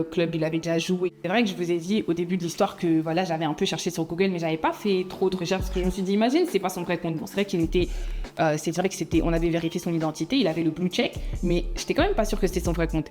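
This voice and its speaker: Young woman vlogger, lower voice, animated storytime delivery, soft music bed. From Belgium. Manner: chatty, animated, laughs often, mixes in English phrases. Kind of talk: casual storytime monologue.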